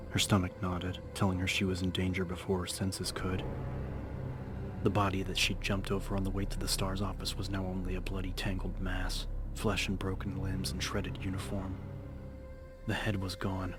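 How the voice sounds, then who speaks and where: A man with a very low voice narrates a horror story. His delivery is measured and suspenseful, held extremely flat in pitch, over a faint bed of music. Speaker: audiobook narrator. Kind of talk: dramatic scripted horror narration.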